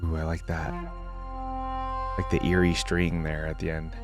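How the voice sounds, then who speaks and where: A man's voice: a bass voice, monotone, normal speed. A man giving casual reaction commentary as a gaming channel host, chatty and thinking aloud. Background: game theme music.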